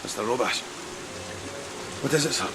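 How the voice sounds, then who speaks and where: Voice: mid-range voice, lively intonation, moderate pace, background noise, natural voice. An actor playing a timid young male sailor, deferential and anxious, in a gothic horror audio drama. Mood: frightened. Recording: rain and music behind.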